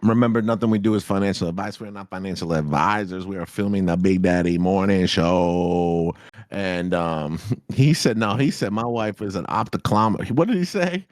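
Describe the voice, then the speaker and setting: A hyped-up man in his thirties hosts a live stream, swearing, slangy and repeating himself. His voice sits low in pitch. The sound is dry and close, with no room echo. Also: normal speed. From South Florida. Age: 30s. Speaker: host of a trading live show. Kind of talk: casual live-stream chat.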